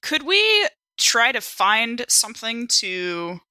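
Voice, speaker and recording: A woman's voice: a little high, sing-song, slow pace, sharp tone, no echo. A woman bantering casually as a player in a tabletop game.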